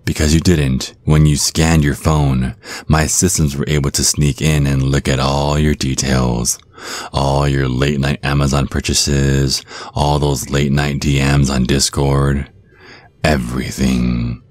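A man acts out a predatory vampire stage magician for an ASMR roleplay. The voice is exceptionally deep and unhurried. He is hypnotic, smug and domineering, taunting the listener with suave assurance. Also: level tone, darkish tone, dry recording.

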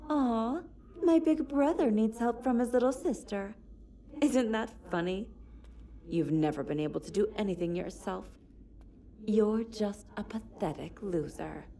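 A woman voicing a cruel, taunting younger sister in a horror game, mocking and sneering with drawn-out teasing. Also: medium pitch, warm tone, a little hiss or hum, natural voice. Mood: mocking, contemptuous.

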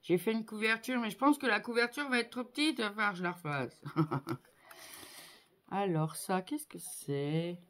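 A woman in her fifties chatting casually to viewers as a craft vlogger, effusive and appreciative, exclaiming often and repeating words haltingly, recorded on a phone indoors at home. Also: mid-range voice, a bit bright. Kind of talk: casual unboxing chat to viewers. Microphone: phone on a tripod at arm's length.

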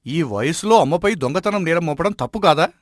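Animated, avuncular village headman, played by a man. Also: fairly high pitch, brisk, no room sound, clean studio sound, natural voice. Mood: questioning. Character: respected village president who settles disputes. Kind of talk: animated moral story dialogue.

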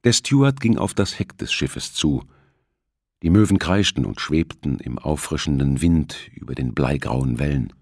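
A man narrates a novel in a low, slightly rough voice. His delivery is scripted storytelling with very animated intonation. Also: normal speed, no echo, clean studio sound. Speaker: audiobook narrator.

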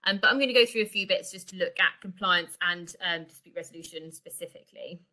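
A middle-aged woman presents a product in a conversational, explanatory way on a video call. Her voice sits a little low for a woman but has a bright, forward edge. The delivery is informal, like an online sales walkthrough. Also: fast, poor audio. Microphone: video call microphone.

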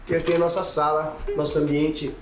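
Brief: man, slightly high voice, quick and easygoing, loud chatter behind. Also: level tone, roomy sound, slight background noise. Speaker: home owner giving tour. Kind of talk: casual house-tour chat.

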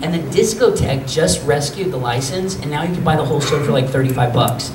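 Boyish-voiced man on a convention panel. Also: fairly quick. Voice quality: high, boyish voice. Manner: animated, jokey storytelling with bursts of laughter. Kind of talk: casual panel talk answering fan questions. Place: convention meeting room, seated at a table. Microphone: table mic on stand. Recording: roomy hall audio from a far camera, noisy.

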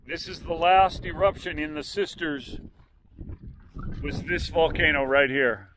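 An older man narrating a hike outdoors on a windy volcanic ridge, calmly explaining the landmarks around him. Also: on the high side. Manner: unhurried, explanatory, pointing out landmarks. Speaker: hiking vlogger. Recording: faint wind noise.

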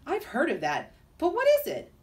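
A woman's voice: sits high, huge pitch swings, normal speed, voice pushed up. A woman voicing a curious, wondering young male pet iguana from a storybook. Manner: wondering, questioning. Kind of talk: storybook character read-aloud. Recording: distant mic in a room.

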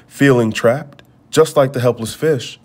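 A man reading a children's storybook aloud, with measured, expressive phrasing. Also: mid-range voice. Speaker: storybook reader. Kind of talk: read-aloud storybook narration.

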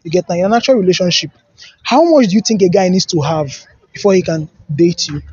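A man's voice: high voice, lively intonation, quick pace, darkish tone, husky, dry recording, dull audio. A young man with a Nigerian accent playfully quizzing people in a comedic outdoor street interview, mixing in pidgin. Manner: teasing, playful questioning with pidgin asides. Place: outdoors on a lawn by trees. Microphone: handheld mic.

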